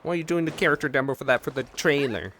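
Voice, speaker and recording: A man's voice: on the high side, expressive, very fast, fairly bright tone, a little hiss or hum. A man giving casual reaction commentary as he watches a video game story. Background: faint game music.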